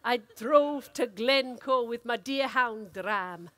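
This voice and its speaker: A woman performing a dramatic, expressive reading of a comic story as an actor. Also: fairly high pitch, slow. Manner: theatrical, expressive delivery.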